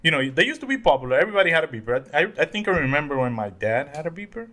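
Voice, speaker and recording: Male podcast co-host, late twenties, from the Dominican Republic; lively, joking, rambling delivery. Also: on the high side, racing. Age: 20s. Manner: jokey, rambling, laughs often. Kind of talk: casual chat.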